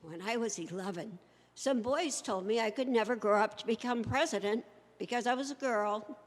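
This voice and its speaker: Higher-voiced American woman presenter, hall reverb. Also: normal speed. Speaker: presenter and reader. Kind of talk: readers theater presentation.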